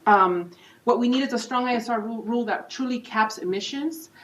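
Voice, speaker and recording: A woman's voice: fairly high pitch, brisk. A woman community organizer giving an urgent, earnest public comment at a meeting. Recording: roomy, distant, dull hall mic.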